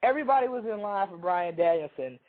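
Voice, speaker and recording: A hoarse man chats casually on a talk show, rambling through a story and often restarting his sentences. His voice sits a little high for a man. The sound comes through a thin, phone-like line. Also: expressive, fast. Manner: rambling, self-interrupting storyteller. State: hoarse from a cold. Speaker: radio show co-host.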